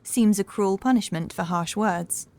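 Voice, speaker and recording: A woman playing a young housekeeper in a horror audiobook, calm and assured, with measured, formal speech and a touch of sorrow. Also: mid-range voice, natural voice. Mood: quiet, thoughtful. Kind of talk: horror audiobook character acting.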